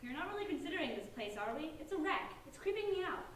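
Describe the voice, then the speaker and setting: Woman acting sulky teen girl, high voice, whiny, blunt, staged reading, distant and very echoey. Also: big pitch swings, fast. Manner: whiny, blunt teenage complaining. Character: sulky, reluctant sixteen-year-old girl, tired and easily spooked. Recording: echoey hall, distant mic, faint noise.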